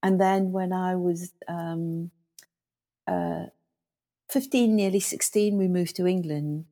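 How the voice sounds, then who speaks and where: A middle-aged woman from South Africa whose first language is Afrikaans, speaking as an interview guest and former school leader in a thoughtful, measured way, often pausing on fillers. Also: lowish voice, steady tone, mellow. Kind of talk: reflective interview storytelling.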